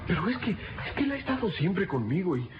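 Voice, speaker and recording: An anxious, devoted boy voiced by a man pleads in a fairly high voice. It is radio melodrama, earnest and hesitant, on a dull recording with clear noise. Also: big pitch swings, brisk. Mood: despondent. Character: young boy companion, loyal, earnest, anxious.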